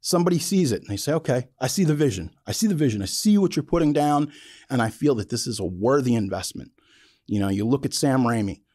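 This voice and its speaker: A man delivers an off-the-cuff, opinionated monologue as a podcast host. His voice is mellow and sits at an average pitch, but it moves around a lot as he drives his points home. The phrasing is blunt and colloquial, and the sound is dry and close. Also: expressive, fast, dry recording. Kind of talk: opinionated casual monologue about filmmaking.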